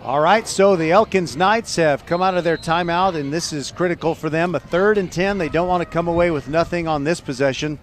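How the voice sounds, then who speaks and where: A man calling live high school football play by play, describing each play in detail. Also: brisk, hardly any pauses, slight background noise. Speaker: play-by-play sports announcer.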